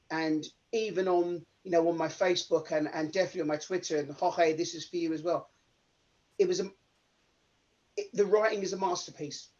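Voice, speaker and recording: A man speaking as an actor in an informal, heartfelt panel Q&A, effusive and halting as he repeats words. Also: on the high side, darkish tone. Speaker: actor in a post-show Q&A. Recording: dull, lo-fi sound.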